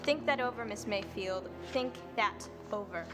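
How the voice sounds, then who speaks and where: A woman's voice: mid-range voice, normal speed, music in the background, close to an everyday voice. A woman playing a brash, jealous woman who sneers at her rivals, in an audio drama. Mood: sneering. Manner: sneering, combative. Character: brash, jealous wealthy woman, self-made. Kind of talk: radio drama acting.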